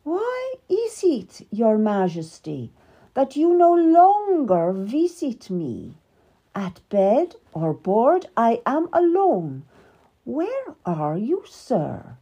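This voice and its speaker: A woman voicing a dignified, wronged middle-aged queen, speaking slowly and formally in a raised, strained tone. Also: high voice, sing-song, warm tone, muffled recording, voice pushed up, exaggerated voice. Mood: icy. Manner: slow, measured and formal. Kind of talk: audiobook character reading.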